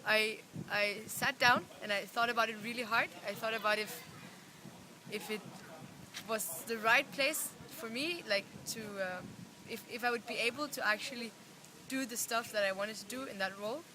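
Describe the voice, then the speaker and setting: Casting: young Danish woman, higher voice, halting informal answers, noticeable ambient noise. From Denmark. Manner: hesitant, searching for words. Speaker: youth organization representative. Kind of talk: casual interview answer. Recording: clear ambient background noise.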